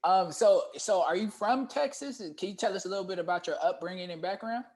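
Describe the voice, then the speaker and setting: A man hosts a relaxed conversational interview. He is supportive and a little hesitant, often repeating phrases as he works toward his question. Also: sits high, fairly quick. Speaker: interview host.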